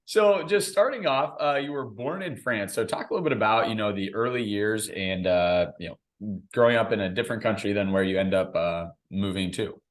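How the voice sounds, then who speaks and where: A man with a fairly low-pitched voice speaks as the host of an interview podcast. His delivery is conversational, as when presenting a guest or putting a question to one. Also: brisk.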